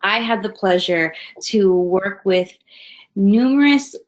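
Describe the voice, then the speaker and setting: A woman's voice: mid-range voice, slow pace. Friendly woman presenter in her thirties, from Hawaii, chatty delivery, dull web-call audio. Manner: friendly, conversational with frequent fillers. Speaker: guest presenter. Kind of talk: webinar presentation. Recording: slightly dull webinar audio.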